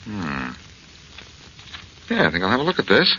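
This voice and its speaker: Bright, wisecracking low-voiced radio detective. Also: male voice, huge pitch swings, normal speed, full character voice. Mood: confident, intent. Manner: glib, teasing, dryly sarcastic. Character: wisecracking, self-assured amateur detective, a grown man. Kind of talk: 1940s radio drama acting. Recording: muffled, noisy 1940s radio recording.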